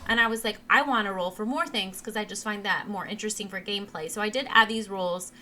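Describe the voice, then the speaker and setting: Bright-voiced monotone woman gamer. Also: medium pitch, quick pace, a little hiss or hum. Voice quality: naturally monotone. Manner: rambling, with many ums and restarts. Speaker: let's play host. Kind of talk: casual gameplay narration. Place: home computer setup. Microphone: desk mic replacing a broken headset.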